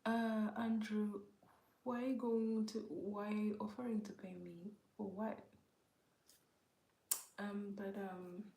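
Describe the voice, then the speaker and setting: A woman from Uganda hosts a relaxed livestream, drifting into near-whispers as she reads out comments. Her voice is low-lit and mellow with a creaky edge, and her slow delivery fills an echoey room. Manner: slow, soft-spoken, greets viewers by name, often whispery. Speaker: livestream host. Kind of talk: casual livestream chat reading comments.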